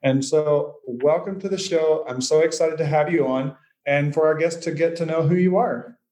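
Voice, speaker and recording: A man's voice: a little high, moderate pace, darkish tone. A man hosting an interview show, asking friendly questions that ramble and restart. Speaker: podcast host. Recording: slightly dull, lo-fi.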